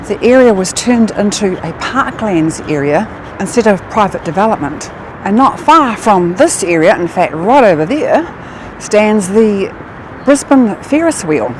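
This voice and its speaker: Chatty Kiwi woman on a night walk. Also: mid-range voice. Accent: New Zealand. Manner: chatty, easily impressed, exclaims often. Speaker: travel vlogger. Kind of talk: walking tour vlog with facts. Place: outdoors in a city at night, by a river. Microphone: action camera. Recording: outdoor city noise in the background. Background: city street and traffic sounds.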